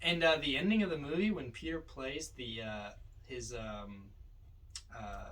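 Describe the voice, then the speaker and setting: A man's voice: medium pitch, unhurried, a bit bright, recorded from a distance, rough recording. A man co-hosting a podcast, discussing movies in a casual, chatty way. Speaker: podcast co-host. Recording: set back from the mic.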